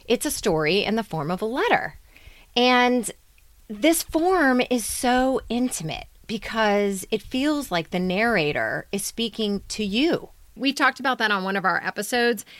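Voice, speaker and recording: A woman's voice: mid-range voice, fairly bright tone, no echo. A woman co-hosting a podcast, talking with enthusiasm in a studio as she gives commentary. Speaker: podcast co-host. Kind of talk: casual discussion and commentary. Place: recording studio.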